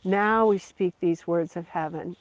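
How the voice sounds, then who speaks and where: A preacher giving a slow, earnest devotional sermon, repeating phrases for emphasis. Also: mid-range voice. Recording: dull lo-fi recording.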